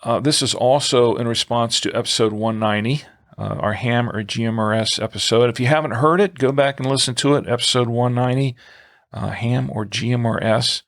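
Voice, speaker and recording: A man hosts a conversational podcast in a medium-pitched voice. His delivery halts and pauses often as he moves through listener mail and show business. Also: fairly bright tone. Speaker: podcast co-host.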